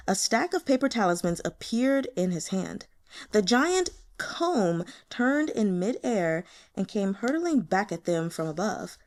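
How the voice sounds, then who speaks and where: A woman narrates fiction in an expressive audiobook style. Her pitch is lively and varied as she reads. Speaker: audiobook reader.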